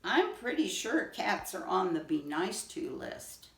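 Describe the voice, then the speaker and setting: A woman voicing a small, indignant male ant in a storybook, brisk and scolding but fair. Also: mid-range voice, big pitch swings, reverberant, far from the mic. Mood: instructive.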